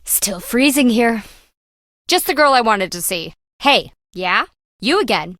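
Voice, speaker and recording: A woman's voice: fairly high pitch, animated, unhurried, a bit bright, dry recording, studio quality. An actress playing a brash, slippery woman treasure hunter in a video game, with wry, breezy, slangy teasing. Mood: sarcastic.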